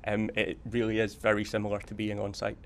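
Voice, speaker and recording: Low, flat-pitched man being interviewed. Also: fairly quick, gravelly. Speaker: air traffic control watch manager. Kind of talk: spontaneous interview.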